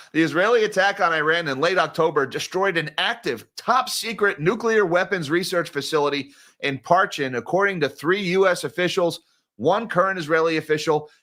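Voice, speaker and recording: Brief: male stream host, high and bright voice, ranting and exasperated. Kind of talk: live-stream news commentary.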